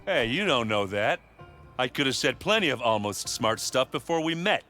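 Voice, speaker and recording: An actor playing a big, good-natured, boastful oaf of an adventurer, boisterous and earnest, in a video game. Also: male voice, medium pitch, very animated, normal speed, bright, forceful tone. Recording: game cutscene with music underneath.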